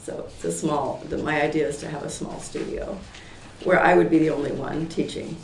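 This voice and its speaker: Hoarse gravelly woman in her sixties. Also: sits low, fairly even intonation, normal speed. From Portland, Oregon, US. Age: 60s. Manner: rambling, many fillers and restarts, laughs lightly. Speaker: applicant at a public hearing. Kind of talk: informal testimony at a town meeting. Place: town meeting room. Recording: echoey hall, far from the mic, faint noise.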